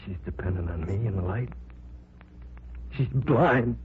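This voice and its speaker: A man's voice: on the low side, a little slow, natural voice. An actor playing a feverish, obsessed man in a 1940s radio horror drama, wild and pleading. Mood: overwrought. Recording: hissy old radio broadcast, phone-like.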